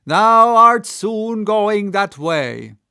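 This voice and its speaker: A man's voice: sits high, lively intonation, very slow, bright, forceful tone, clean tone, dry recording, clean studio sound, voice pushed up, heavily acted. A man voicing a fanatical prophet who cries out warnings of doom in a vehement, declamatory way, as in an audiobook.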